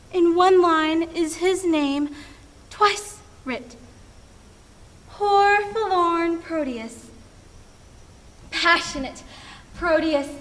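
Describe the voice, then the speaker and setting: A young woman performing a lovesick, remorseful Shakespearean heroine in a slow, dramatic stage monologue. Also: a bit bright, heavily acted. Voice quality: high-pitched. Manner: slow, dramatic verse delivery. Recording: echoing hall, distant microphone.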